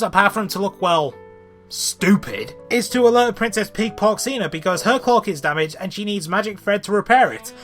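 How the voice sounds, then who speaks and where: Male video reviewer, bright and highly animated, sarcastic and exasperated in scripted rants.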